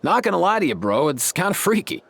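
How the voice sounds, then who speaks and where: A man voicing an easygoing young surfer-type guy in a video game, slangy and relaxed. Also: high voice, fast, vocal fry, slightly rough, heavily acted. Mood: laid-back.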